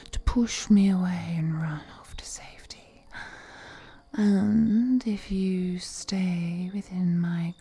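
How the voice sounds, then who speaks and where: Woman acting a slow, whispering, seductive ancient vampire lady. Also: medium pitch, warm tone, heavily acted. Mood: playful, predatory. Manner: breathy whispering, slow, teasing, formal courtly phrasing. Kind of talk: intimate roleplay audio acting, mostly whispered.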